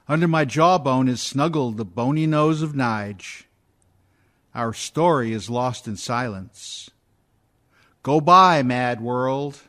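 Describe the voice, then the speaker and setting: A man's voice: medium pitch, a bit bright. A man playing a broken, embittered husband, once strong-willed, in a melancholy radio play monologue. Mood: bitter sorrow.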